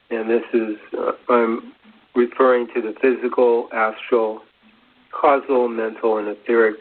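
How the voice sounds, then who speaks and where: A man explains spiritual ideas off the cuff in a very even, slow voice. He is halting and often searches for words. The recording is dull, like low-fidelity radio. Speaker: co-presenter. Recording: dull lo-fi radio sound, faint noise.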